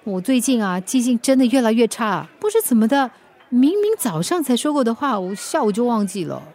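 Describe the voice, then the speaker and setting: A woman's voice: a little high, expressive, fairly quick, darkish tone. A woman with a Singaporean accent acting a forgetful, playful delivery rider in a radio drama, cutesy and coaxing. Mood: worried.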